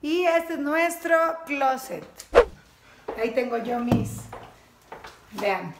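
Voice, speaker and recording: Mujer aguda y parlanchina en casa resonante. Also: female voice, sits high, animated, slow pace. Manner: chatty, upbeat, points things out as she walks. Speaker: vlogger giving a home tour. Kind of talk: casual house tour walk-through. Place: rooms of a house, indoors. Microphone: handheld camera, close, moving. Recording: echoey rooms, clear background noise.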